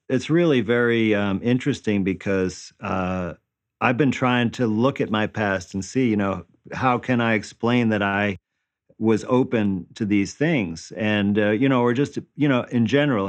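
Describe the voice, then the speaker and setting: A man in his fifties answering interview questions conversationally, explaining things and often checking for agreement. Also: fairly low pitch. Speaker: interview guest, natural healing practitioner.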